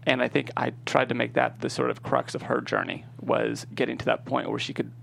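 A man with a heavily fried, gravelly voice gives casual interview answers as a screenwriting guest. He talks quickly and explains his points conversationally, at a middling pitch. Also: little pitch movement, a bit bright.

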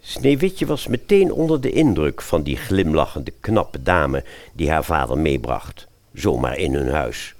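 A man's voice: a bass voice, sing-song, measured pace, a little mellow. A man narrating a satirical fairy tale as storyteller, expressively and with wry asides to the listener.

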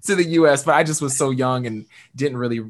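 A young man hosts a conversational podcast with an upbeat, animated delivery, leaning on fillers as he talks. His voice sits at a middle pitch for a man, neither deep nor high. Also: a little fast. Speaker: podcast host. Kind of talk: conversational interview.

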